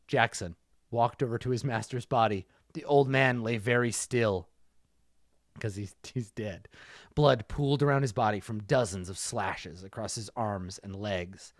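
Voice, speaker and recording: A man's voice: on the low side, measured pace, no echo, studio quality. Wry man reading a story aloud. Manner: wry, sarcastic interjections. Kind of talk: comedic story reading with asides.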